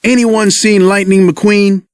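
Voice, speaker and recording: A man's voice: sits high, steady tone, slow, dry recording, studio quality, voice pushed up. A man voicing a cocky, brash race car who leads an off-road gang and likes to taunt, in a read-aloud storybook.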